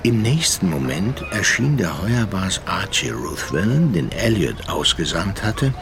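An actor playing a seafaring narrator in a radio drama, telling the tale in a measured, literary manner. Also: male voice, low-pitched, sing-song, background noise, full character voice. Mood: measured, recollecting. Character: male seafaring narrator, measured, observant storyteller.

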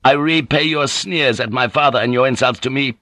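Man as a proud young samurai, raised pitch. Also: a little fast, pushed and bright, no echo, lightly acted. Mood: proud resolve. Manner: formal, defiant, clipped politeness. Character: proud, venomous young samurai bent on honor. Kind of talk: audiobook character voice.

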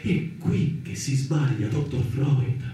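A man's voice: medium pitch, animated, slow pace, dark tone. An actor playing an idealistic, impassioned male doctor in a theatrical radio drama, arguing in an earnest, rhetorical way. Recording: echoey, distant, roomy sound.

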